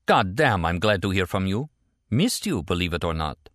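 A man's voice: sits low, very animated, moderate pace, a bit bright, no echo, studio quality. A man voicing a tender, teasing, anxious woman in an audiobook novel. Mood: eager, warm. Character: frightened, teasing, tender American woman on a phone call.

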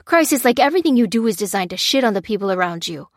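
A woman's voice: mid-range voice, animated, quick pace, no echo, studio quality, lightly acted. A woman voicing a bitter, hardened male soldier who growls and rants accusations. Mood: bitter contempt. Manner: growling, ranting, hurling blame. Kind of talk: audiobook character reading.

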